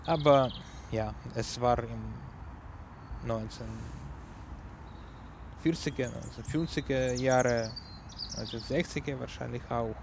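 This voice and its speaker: A man with a Ukrainian accent hosts a community radio music show, speaking very slowly and pausing often to search for German words. His voice sits at an ordinary male pitch. Clear background noise runs under the recording. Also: steady tone. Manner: halting, searching for German words, many fillers. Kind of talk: unscripted radio music presentation.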